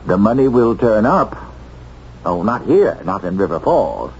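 A haughty, evasive bank executive in a seventies radio play. He is curt and dismissive, his creaky voice swinging dramatically up and down. There is a clear bed of noise on the recording. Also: lowish voice, sing-song, unhurried, darkish tone. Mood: cold, dismissive. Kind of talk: 1970s radio drama acting. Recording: dull, noisy 1970s radio recording.

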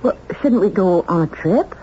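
An actress playing a timid, earnest, polite secretary in a 1970s radio drama. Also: female voice, mid-range voice, animated, moderate pace, dark tone, natural voice. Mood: gently pleading. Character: timid, proper, lonely long-serving office secretary. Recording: muffled, noisy 1970s radio recording.

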